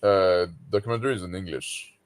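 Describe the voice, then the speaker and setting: A man's voice: low voice, a little fast, a loud crowd. A sleepy man with a nasal voice and a Quebec French accent, native French speaker, chatting casually over a laptop mic. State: sleepy, just woke up. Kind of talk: casual chat.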